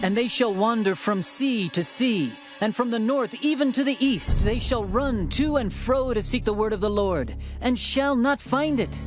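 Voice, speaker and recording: A male narrator delivers scripture as drama, pitched high for a man. His phrasing is measured, solemn and declamatory. Music plays clearly behind him.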